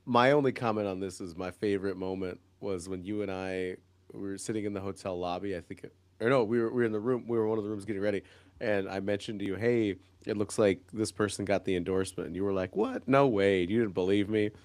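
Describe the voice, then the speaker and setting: A man's voice: fairly low pitch, fairly even intonation, fast, a little mellow, no room sound. A man on a podcast panel, joking around and answering back in a playful way. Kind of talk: casual panel chat.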